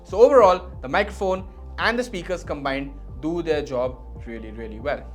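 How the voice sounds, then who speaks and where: Man, slightly high pitch, explanatory reviewer delivery, measured emphasis, faint music behind. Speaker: product reviewer.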